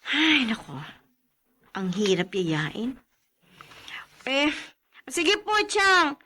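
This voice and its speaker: An actress playing a patient, weary young wife in a radio drama. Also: female voice, high-pitched, big pitch swings, extremely slow, bright, forceful tone, a little hiss or hum, full character voice. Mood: weary, resigned.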